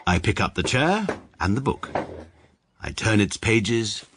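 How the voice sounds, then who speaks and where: A pedantic English barrister. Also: male voice, fairly low pitch, sing-song, background noise. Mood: dry. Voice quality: measured, dry, pedantic. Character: precise, pompous adult male barrister narrating. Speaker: narrator, adult lead character. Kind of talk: radio drama narration.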